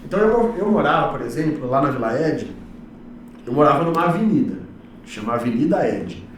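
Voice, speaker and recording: A man, a relaxed and joking guest telling stories in a casual interview, recorded in an echoey room with the mic far off. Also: mid-range voice, fairly quick, a little hiss or hum. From São Paulo, Brazil. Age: 30s. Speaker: guest speaker, communication coach.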